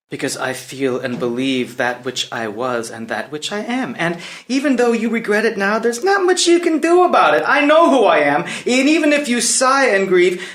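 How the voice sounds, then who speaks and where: A man's voice: medium pitch, a bit bright, lightly acted. A man playing a proud, impetuous young prince in a dramatic play reading, declaiming emphatically and floridly. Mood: indignant, proud.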